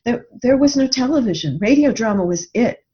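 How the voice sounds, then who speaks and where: An older woman telling personal stories conversationally in an interview, in a reflective and expressive way, voicing her own thoughts as she goes. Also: on the high side, a little fast. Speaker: podcast guest, writer and radio drama producer. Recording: dull band-limited lo-fi call audio.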